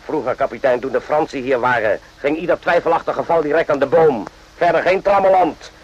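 Radio drama: cynical, gruff sergeant, scornful and slangy, slightly high pitch, dull lo-fi sound. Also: male voice, brisk. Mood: bitter. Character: gruff, cynical, sarcastic male army sergeant, old hand. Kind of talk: radio play acting. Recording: dull lo-fi old radio play.

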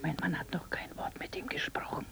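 An actress playing a married farm wife who answers in short, breathy whispers. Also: female voice, a little fast, pushed and bright, close to an everyday voice. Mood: worried. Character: anxious farmer's wife, married woman.

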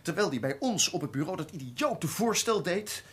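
A man's voice: fairly high pitch, sing-song, normal speed. A man acting in a radio drama as an eager, indignant young police detective, insistent and argumentative. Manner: insistent, argumentative, stammering when challenged.

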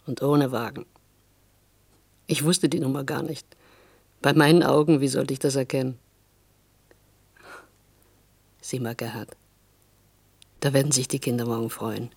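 An actress playing a resolute, caring wife and protective neighbour in a German radio play, firm and matter-of-fact. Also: female voice, a bass voice, fairly even intonation, normal speed, long pauses, darkish tone, breathy edge, exaggerated voice. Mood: relieved.

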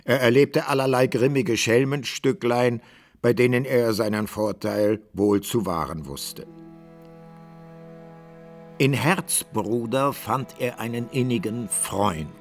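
Measured literary storyteller, faint music. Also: male voice, fairly low pitch. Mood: measured storytelling. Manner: measured, dramatic, archaic phrasing. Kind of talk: scripted radio drama narration.

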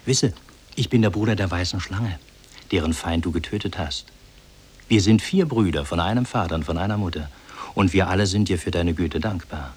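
An actor playing a solemn, benevolent demon in human form, speaking formally and with measured declamation in a 1950s radio drama. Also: male voice, low-pitched, breathy edge. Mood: solemn, reassuring.

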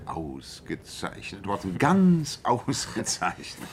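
An actor playing an assured, exacting detective who recounts a case in a radio play. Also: male voice, low voice, wildly swooping pitch, takes it slowly, slight background noise, full character voice. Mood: assured, explanatory. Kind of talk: German radio play storytelling.

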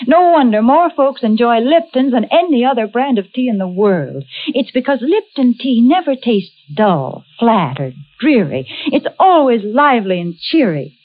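Vintage radio ad lady: highish, very animated voice, wholesome cheery pitch, slightly muffled. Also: moderate pace, hardly any pauses, no echo. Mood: cheery selling. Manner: bright-spirited, emphatic sales patter. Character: cheerful wholesome female sponsor spokeswoman, playfully prim. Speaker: commercial announcer. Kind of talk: 1940s radio advertising.

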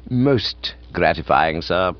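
A man voicing a deferential, unflappable English manservant in a comic audiobook, with formal, measured, understated speech. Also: low voice, expressive, dry recording, lo-fi sound, voice pushed down. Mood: deferential, composed.

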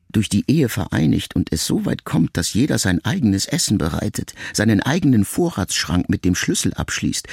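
A middle-aged actor playing a reflective grown son, a writer, who addresses his dying mother in a measured, confessional literary monologue for a radio play. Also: lowish voice, gravelly, a little mellow, slightly rough, no room sound, studio quality, heavily acted. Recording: music behind the voice. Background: music.

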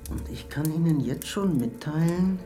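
An actor playing a self-righteous serial killer in a radio drama monologue, measured, insistent and justifying himself. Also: male voice, a little high, over music, close to an everyday voice.

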